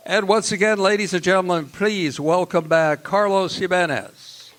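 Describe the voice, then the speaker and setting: A man's voice: high voice, normal speed, very noisy, natural voice. An American man announcing in the style of an old-time radio commercial, with an upbeat, emphatic sales delivery.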